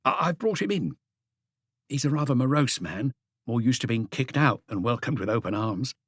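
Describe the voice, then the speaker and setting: A man voicing an excitable, eager police inspector who reports deferentially to his boss in an audiobook. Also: medium pitch, moderate pace, slightly rough, no room sound, clean studio sound. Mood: eager, reporting.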